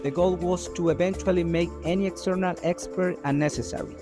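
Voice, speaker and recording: A man from Chile, an environmental economist, giving a steady, measured scripted narration for a video. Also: high-pitched. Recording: video voice-over with music behind.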